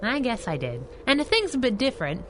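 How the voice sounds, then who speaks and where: Brief: streetwise crimefighting sidekick, sassy and knowing, animated pitch, bright edge, old-time radio serial. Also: female voice, fairly quick, a little hiss or hum. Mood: playful, knowing. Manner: wisecracking, teasing, knowing. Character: sassy masked heroine, teasing, brash, streetwise young woman. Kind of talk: 1930s radio adventure serial acting.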